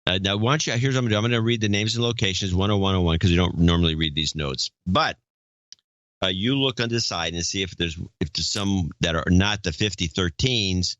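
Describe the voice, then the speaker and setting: A man co-hosting a podcast, gruff and offhand as he reads off names. His voice sits low, and the sound is dry and somewhat muffled. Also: a little fast, fairly bright tone, dry recording. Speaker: podcast co-host. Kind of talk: casual chat, reading donor names.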